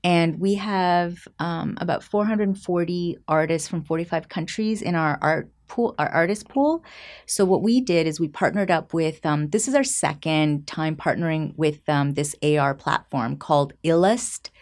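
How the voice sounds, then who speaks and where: A woman's voice: sits low, a little slow, darkish tone. A woman from Canada chatting casually and upbeat as an interview guest, in slangy everyday language. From Canada, near Toronto.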